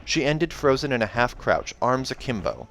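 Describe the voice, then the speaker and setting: A man reading a fantasy novel aloud in an expressive narrating style, as a reader who also comments casually. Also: mid-range voice, moderate pace, slight background noise.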